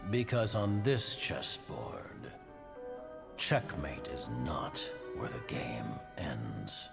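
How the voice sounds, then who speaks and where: Grave leader of a dark order, game trailer: gravelly, portentous, unhurried delivery. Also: male voice, rumbling low, big pitch swings, exaggerated voice. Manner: solemn, portentous, theatrical. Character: grave, commanding male leader of a villainous order. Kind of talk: dramatic game trailer acting. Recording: loud orchestral music behind voice.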